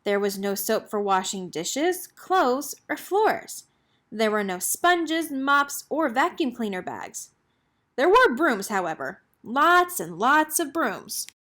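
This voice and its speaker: A woman narrates a children's picture book in a fairly high voice. Her pitch moves widely, giving the scripted reading an expressive, storytelling lilt. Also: takes it slowly. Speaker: read-aloud storyteller.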